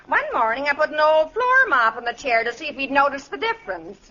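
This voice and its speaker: Bright, high-voiced chatty maid. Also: female voice, very animated, brisk, full character voice. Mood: chatty, amused. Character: chatty, sympathetic, wisecracking household maid. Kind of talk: 1940s radio comedy acting. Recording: muffled old radio recording, faint noise.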